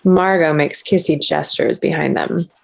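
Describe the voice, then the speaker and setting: A woman's voice: low-pitched, steady tone, unhurried, gravelly. A woman reading stage directions as scripted narration for a screenplay table read.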